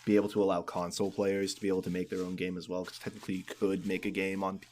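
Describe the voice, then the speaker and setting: Male co-host, lowish voice, casual gamer talk, hesitant starts. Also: level tone, racing, a little mellow. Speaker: podcast co-host. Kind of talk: casual gaming chat.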